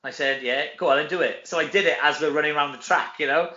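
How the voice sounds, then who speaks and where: A Welsh man, an actor being interviewed, chatting casually in an animated, self-deprecating, joking way. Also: fairly high pitch, quick pace. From Wales. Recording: dull, echoey room sound.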